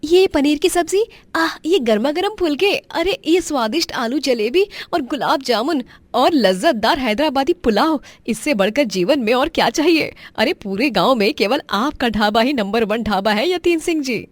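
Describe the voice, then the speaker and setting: Lady doing a gushing happy foodie customer, high loud voice, dry sound. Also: lively intonation, moderate pace, no echo. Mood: delighted. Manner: gushing, savouring every word. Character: delighted, food-loving eatery customer. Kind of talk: acted moral-story dialogue.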